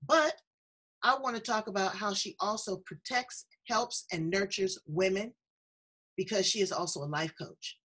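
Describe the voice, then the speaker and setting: A woman hosting a conversational interview, talkative, effusive and playful, with a husky voice. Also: medium pitch, moderate pace, a bit bright. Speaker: show host interviewing a guest.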